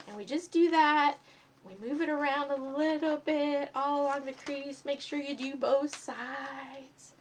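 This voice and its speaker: A woman hosting a children's storytime, expressive and speaking directly to young listeners as she reads and explains step by step. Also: sits high, a little hiss or hum. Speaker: storytime host and reader for children. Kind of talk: animated storybook reading and craft instruction for children.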